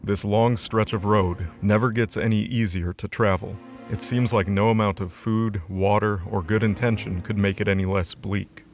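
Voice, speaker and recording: A man narrating a scripted audio drama as its storyteller. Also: lowish voice.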